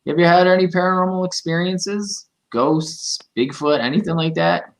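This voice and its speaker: A man's voice: sits high, moderate pace. Brief: male interview host, friendly and conversational, video-call setup. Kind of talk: casual interview.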